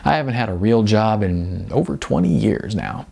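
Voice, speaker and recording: A male narrator plays a modest, good-natured guy in his forties from an audiobook. He talks in a folksy, hesitant way with ums and self-deprecating asides. The voice is slightly low and creaky, with lively inflection. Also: normal speed, heavily acted. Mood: easygoing. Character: modest, good-natured balding 40-something male commercial model. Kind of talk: audiobook character dialogue.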